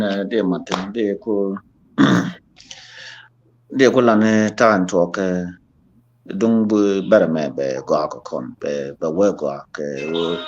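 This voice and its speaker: A man speaking as a guest in a casual discussion, talking in animated, emphatic bursts. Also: low-pitched. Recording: dull lo-fi audio.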